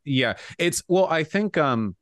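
A man in his late twenties chatting on a podcast in a relaxed, dry way, often hesitating with ums. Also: a little high, slow, no room sound. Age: late 20s. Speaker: podcast co-host. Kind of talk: casual podcast chat.

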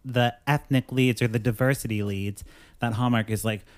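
A woman co-hosting a casual chat show, animated and quick to get worked up as she reads quotes aloud. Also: very deep voice, dry recording. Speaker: podcast co-host.

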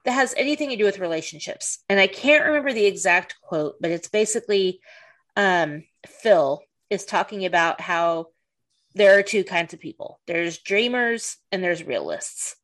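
A middle-aged woman talks loosely in a relaxed podcast chat. Her pitch sits at an ordinary middle height. She backs up and restarts her phrases, filling the gaps with "you know.". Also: moderate pace. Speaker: podcast host. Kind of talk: casual chat.

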